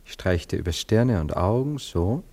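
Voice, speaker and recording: A man's voice: low voice, animated, normal speed, dark tone. A male actor playing an anxious, lovesick poet and amateur hypnotist in a classic German radio play, theatrical and tender. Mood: soothing, commanding. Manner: theatrical, tender, wavering between commanding and evasive.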